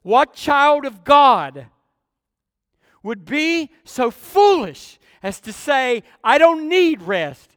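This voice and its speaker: A man's voice: extremely high pitch, big pitch swings, drawn-out words, a bit bright, no room sound, clean studio sound, long reverb tail. A man preaching an expository sermon, with emphatic phrasing and repetition for effect.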